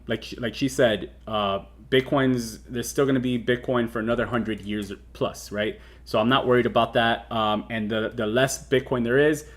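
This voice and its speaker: A man in his thirties hosting a casual crypto livestream, talking loosely with lots of fillers and restarts. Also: on the low side. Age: 30s. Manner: rambling with many fillers and restarts. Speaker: crypto show host.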